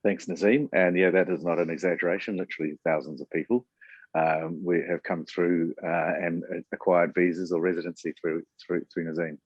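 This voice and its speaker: Low husky man hosting a webinar. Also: fairly quick, dry recording, muffled recording. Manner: relaxed, many fillers and restarts. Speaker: webinar host. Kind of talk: webinar presentation. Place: Auckland.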